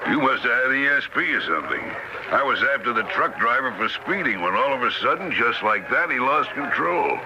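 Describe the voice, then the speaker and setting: An actor playing a brisk, concerned male state patrol officer in a radio drama, official and direct. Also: mid-range voice, sing-song. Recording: muffled, very noisy old radio recording.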